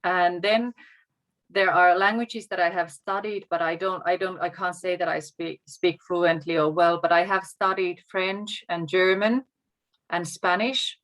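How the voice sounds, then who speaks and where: A woman from Finland with a Finnish accent, a native Finnish speaker, talking as a guest teacher on a video call in a measured, encouraging way. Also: on the low side, steady tone, fairly bright tone. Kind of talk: casual video call talk.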